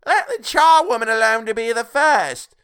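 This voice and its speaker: Storybook role: brazen thieving woman, played by a man, high, strident, defiant, very animated. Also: normal speed, clean tone. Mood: brash, gleeful. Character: brazen, defiant older working woman, thief.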